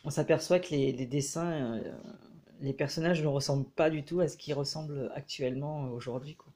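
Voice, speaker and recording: A man with a head cold giving a casual, hesitant review of a book as he leafs through it, pausing often. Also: on the high side, fairly even intonation, dark tone. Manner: hesitant, frequent filler pauses.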